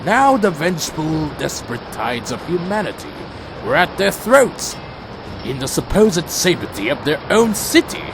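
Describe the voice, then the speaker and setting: A man's voice: a little high, very animated, moderate pace, vocal fry, noisy background. A man playing a proud, brooding vampire warrior in a fan audio drama, speaking and narrating in a solemn, formal, dramatic way. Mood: dramatic, grim. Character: proud male vampire warrior, loyal to his clan, brooding. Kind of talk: audio drama dialogue and first-person narration.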